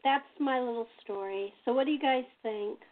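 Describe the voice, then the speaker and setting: A woman's voice: a little high, normal speed. An American woman from Los Angeles hosting a casual chat in a rambling, repetitive way over tinny phone-like audio. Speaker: host and playwright.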